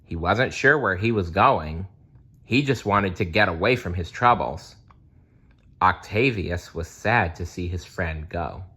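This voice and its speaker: A man reading a children's story aloud in a deliberate, storytelling manner. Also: low-pitched, expressive, sharp tone, slightly rough.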